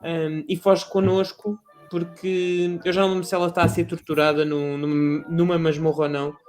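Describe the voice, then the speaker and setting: A man with a European Portuguese accent talking casually about video games, animated and rambling, full of restarts and fillers. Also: a little high, normal speed, soft background music. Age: adult. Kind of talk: casual gaming chat.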